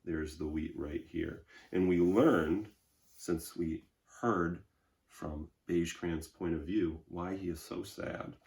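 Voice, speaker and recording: A man's voice: low-pitched, takes it slowly, gravelly, a little mellow. A man, a teacher, reading a children's storybook aloud and adding simple explanatory asides. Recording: roomy, echoing indoor sound.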